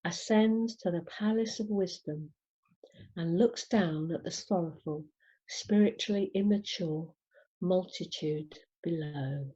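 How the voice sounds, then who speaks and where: A woman reading scripture aloud in a measured, deliberate way, pausing between short phrases. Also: lowish voice, mellow. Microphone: video call over Zoom. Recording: dull, lo-fi video call.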